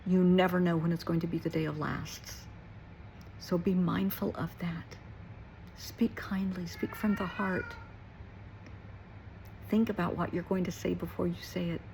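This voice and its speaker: Middle-aged female, lowish register with strong vocal fry, earnest reflective monologue, some background noise. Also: fairly even intonation, measured pace, long pauses, darkish tone, low-quality recording. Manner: earnest, emphatic, repeats short phrases for weight. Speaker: therapist and nurse. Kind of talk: reflective personal talk to listeners.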